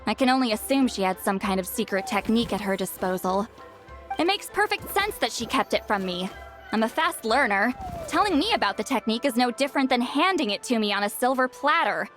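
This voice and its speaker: High-pitched haughty young shaman. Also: lively intonation, a little fast, fairly bright tone, faint music behind. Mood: proud, aloof. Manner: haughty, boastful, tsundere defensiveness. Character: proud, haughty young-sounding great shaman woman, easily flustered. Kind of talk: video game voice acting.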